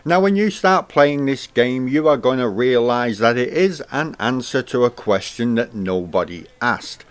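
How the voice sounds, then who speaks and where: A man with a mid-pitched voice reads his own scripted review of a video game. Each phrase starts high and falls away at the end, so the delivery sounds lively. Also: unhurried, hardly any pauses, clear, resonant tone. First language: English.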